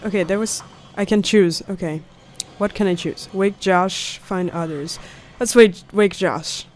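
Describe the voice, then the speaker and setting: A young woman giving casual, excited and reactive let's play commentary, close on a studio mic. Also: medium pitch, a little slow, slight background noise. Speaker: gamer, host of a let's play series. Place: indoors, close at a desk. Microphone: studio mic on a boom arm, headset on. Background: faint game soundtrack.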